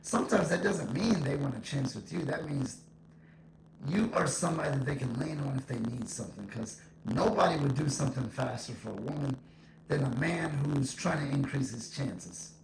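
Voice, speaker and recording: A man's voice: medium pitch, animated, normal speed, gravelly, crowd noise. A man giving a casual, conversational opinion monologue, repeating his points for emphasis, with an echo effect on his voice. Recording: echoing voice with faint noise.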